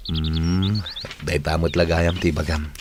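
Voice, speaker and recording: Deep-voiced devoted sweetheart. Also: male voice, level tone, slow, a little hiss or hum, exaggerated voice. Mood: tender. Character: devoted male sweetheart, affectionate and easygoing. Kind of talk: radio drama acting.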